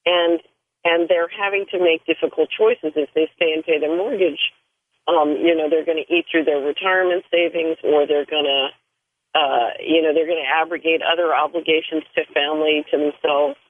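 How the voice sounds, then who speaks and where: A woman's voice: low-pitched, fairly even intonation, normal speed, no room sound. A woman as a radio guest calling in, explaining thoughtfully with some restarts. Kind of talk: phone-in interview. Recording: tinny phone line.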